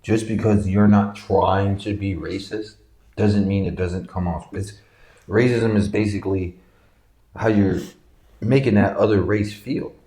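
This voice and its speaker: A man co-hosting a casual chat, speaking in a relaxed, laid-back way. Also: lowish voice, measured pace. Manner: relaxed, laid-back, repeats himself when starting a thought. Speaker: co-host. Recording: echoey room.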